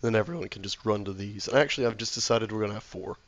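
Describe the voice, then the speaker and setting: A man with a sore throat and a slightly hoarse voice, casually commentating his game while he builds, mumbling and thinking aloud to himself. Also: lowish voice, very fast, muffled recording. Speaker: let's play gamer.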